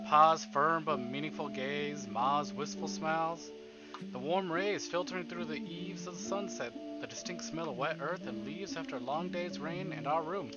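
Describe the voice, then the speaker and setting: A fairly high-pitched male game streamer gives a dramatic read-aloud of a visual novel. His delivery is theatrical and deliberate, breaking into livelier asides, with music clearly playing behind him. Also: highs cut off. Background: game background music.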